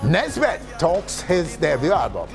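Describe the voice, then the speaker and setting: A man with a high voice hosts an entertainment news show, reading his stories in a lilting sing-song. A soft music bed runs underneath him. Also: huge pitch swings, a little slow, gravelly, a crowd in the background. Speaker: entertainment news host and interviewer. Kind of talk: news reading and studio interview.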